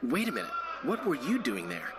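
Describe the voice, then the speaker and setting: An actor playing a flamboyant bard who dabbles in spying, theatrical and self-justifying in a video game cutscene. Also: male voice, medium pitch, wildly swooping pitch, a little fast, very noisy. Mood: curious. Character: vain, flamboyant male bard and amateur spy.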